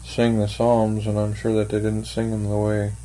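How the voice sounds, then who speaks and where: An older man answering interview questions slowly and thoughtfully, with many pauses and fillers. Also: lowish voice, monotone, dark tone, a little hiss or hum, low-quality recording. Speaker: interviewee, church member. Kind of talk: oral history interview.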